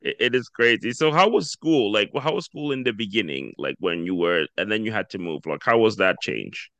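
Lower-voiced man hosting, dry sound. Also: a little fast, no room sound. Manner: relaxed, stumbling restarts. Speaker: podcast host. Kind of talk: casual interview chat.

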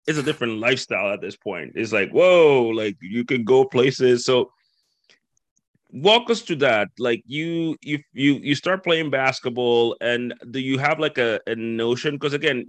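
A lively host from the Dominican Republic chatting on a dry, close mic with no room sound. His speech runs in loose, run-on thoughts, and he lifts his pitch to act out other people's voices. He sounds casual and animated, like in a laid-back interview. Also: normal speed, no room sound. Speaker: podcast host.

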